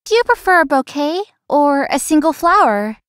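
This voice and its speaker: Young female florist, high pitch, friendly, self-doubting, visual novel dialogue, dry studio sound. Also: sing-song, normal speed, a bit bright, no echo. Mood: polite, helpful. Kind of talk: scripted anime-style game dialogue and inner monologue.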